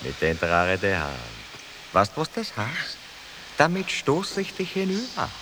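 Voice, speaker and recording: An actor in a radio drama playing a menacing, knife-wielding street thug with a Viennese accent. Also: male voice, mid-range voice, huge pitch swings, unhurried, background noise. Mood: threatening.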